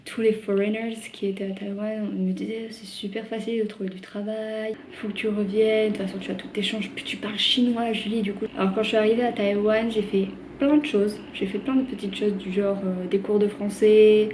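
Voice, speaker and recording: A woman's voice: medium pitch, flat delivery, fairly quick, hardly any pauses, darkish tone, slight background noise, rough recording. A young French woman chatting to camera in a vlog, talking freely with lots of fillers and restarts. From France. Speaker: vlogger. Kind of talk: casual chat to camera.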